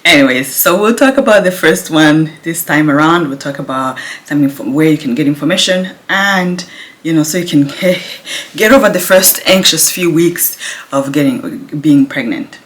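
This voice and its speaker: Soft-spoken woman. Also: sits low, moderate pace. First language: Kinyarwanda. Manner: speaks softly, laughs often, restarts words. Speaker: vlogger. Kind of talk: casual chat to camera. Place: home, indoors.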